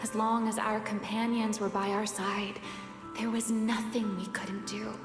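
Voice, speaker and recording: An actress playing a sharp-tongued woman among colleagues in a video game, with a theatrical delivery. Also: mid-range voice, little pitch movement, measured pace, lightly acted. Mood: wistful. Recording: echoing hall, loud music behind.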